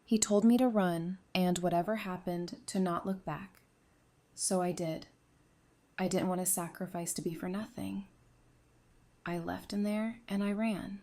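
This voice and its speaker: Mellow, composed female survivor played by an actress. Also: on the low side, fairly even intonation. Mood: grieving. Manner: measured, earnest. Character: composed, resolute widowed woman, apocalypse survivor. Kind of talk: audio drama acting.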